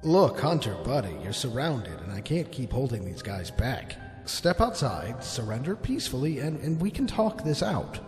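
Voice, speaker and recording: Audio-drama older policeman, put on by a male narrator: tired, caring negotiator, urgent and pleading, animated pitch. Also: normal speed, hardly any pauses, echoey room, big-room sound, soft background music, lightly acted.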